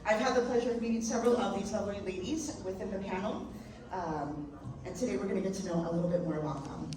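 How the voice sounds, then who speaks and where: A woman's voice: medium pitch, quick pace, crowd noise. A woman moderating a panel, speaking politely with a few hesitant fillers. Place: convention hall. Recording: echoey hall from far off, clear noise.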